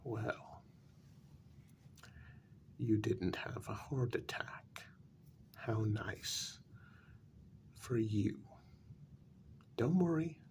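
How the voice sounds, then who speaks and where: A man playing a jaded, irritable vampire in a soft-spoken ASMR role-play, with weary sarcasm and a gravelly, half-whispered voice. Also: fairly low pitch, expressive, heavily acted. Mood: bitter, sardonic. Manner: slow, deliberate, weary sarcasm. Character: jaded, irritable male vampire hiding a hideous face.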